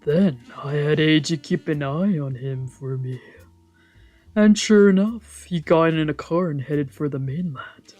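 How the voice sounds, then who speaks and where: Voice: mid-range voice, measured pace, a little mellow, lo-fi sound, faint music behind. A streamer of a visual novel reading the text aloud and adding casual, wry asides.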